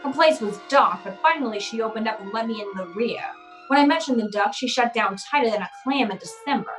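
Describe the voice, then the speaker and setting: Woman doing a tough noir lady detective, radio play style, slangy n clipped, kinda high voice, bit of music. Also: lively intonation, brisk, lightly acted. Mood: wry narrating. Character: hardboiled female private detective, tough, wisecracking, unflappable. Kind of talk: old-time radio detective drama acting.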